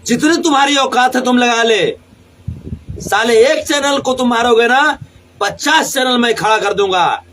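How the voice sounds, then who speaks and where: A man's voice: extremely high pitch, unhurried, a bit bright, a little hiss or hum, low-quality recording. A man with a Bhojpuri accent delivering an angry, defiant live rant, combative and repeating phrases for emphasis. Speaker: singer.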